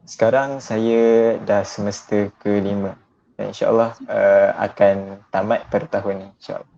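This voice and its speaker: A young man in his twenties from Malaysia, a university student answering interview questions politely and in a measured way. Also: on the low side, little pitch movement, creaky, warm tone. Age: 20s. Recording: dull lo-fi sound.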